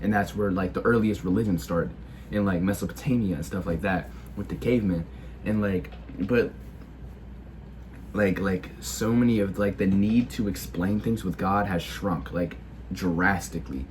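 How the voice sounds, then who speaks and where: A man's voice: low voice, normal speed, a little mellow, slight background noise. A teenage boy who is sick, giving a casual opinion monologue in a hesitant way, full of filler words and restarts. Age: teens. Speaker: video creator.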